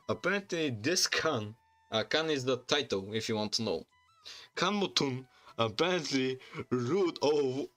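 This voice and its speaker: A man from Bulgaria with a Bulgarian accent, chatting casually on a livestream in halting, rambling English full of restarts. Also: medium pitch, a bit bright. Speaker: streamer and YouTuber. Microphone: desk microphone.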